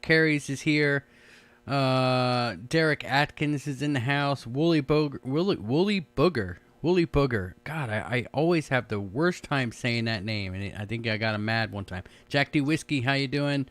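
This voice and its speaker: A middle-aged man hosts a radio chat show with loose, easygoing banter, laughing often and stumbling over restarts. His voice is mid-pitched, and the sound is dry and close with no room echo. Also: moderate pace, a bit bright.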